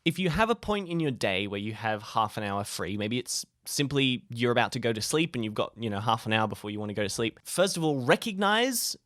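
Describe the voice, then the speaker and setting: Man, casual vlog advice, animated intonation, dry close sound, playful, self-deprecating. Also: medium pitch, brisk, hardly any pauses, dry recording. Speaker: YouTube creator sharing tips.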